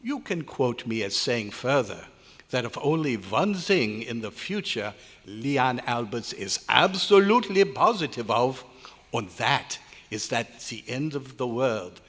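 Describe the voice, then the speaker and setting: A man's voice: medium pitch, expressive, unhurried, a bit bright, slightly rough. A man playing an irritable, pompous German scientist in an old-time radio drama, declaiming with exasperation and a German accent. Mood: grandiose. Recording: echoey hotel hall live performance.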